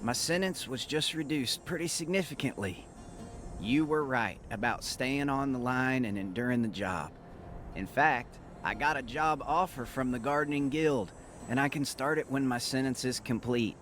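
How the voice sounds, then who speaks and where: Game reformed young gangster, male actor: average pitch, humble, earnest letter voice-over, light music bed. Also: fairly bright tone, lightly acted. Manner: sincere, humble, grateful. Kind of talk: reading of a letter in character. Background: faint music.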